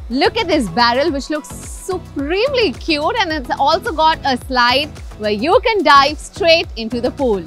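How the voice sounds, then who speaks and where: A high-pitched woman with an Indian accent presents a travel vlog. Her manner is chatty and enthusiastic, pitched to sell. Light music plays behind her. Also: very animated, measured pace, few pauses, a bit bright. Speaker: travel show host. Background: background music.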